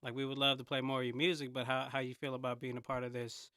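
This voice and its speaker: A man's voice: mid-range voice, little pitch movement, quick pace, sharp tone, no room sound, studio quality. A man from the San Francisco Bay Area telling stories as a podcast guest in casual, slangy talk with some swearing. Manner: slangy, laid-back phrasing, swears casually. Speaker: podcast guest, hip hop artist. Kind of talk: casual conversational storytelling.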